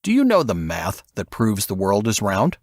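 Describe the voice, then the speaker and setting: A grave, assured investigator from an audiobook voiced by a male narrator explains things in a measured, didactic way. His voice sits fairly low with varied intonation, in a dry recording. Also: huge pitch swings, darkish tone, no echo, heavily acted. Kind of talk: audiobook character dialogue.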